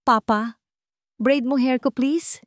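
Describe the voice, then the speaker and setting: Casting: woman to play a sweet, excitable young daughter in a radio drama, high voice, pleading, childlike. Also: slow pace, no echo, clean studio sound. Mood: sweet, asking. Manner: eager, pleading childlike calls. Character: excited, affectionate little girl calling her father.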